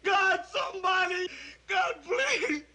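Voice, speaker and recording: An actor playing a terrified young airman reliving a plane crash, sobbing, shouting and pleading. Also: extremely high pitch, animated, takes it slowly, heavily acted. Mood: panicked.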